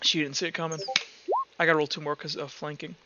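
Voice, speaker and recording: High-pitched man running a tabletop game. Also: little pitch movement, muffled recording, heavy background noise. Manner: halting, thinking aloud as he works out rules. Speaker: game master of a tabletop roleplaying game. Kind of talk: improvised tabletop game narration.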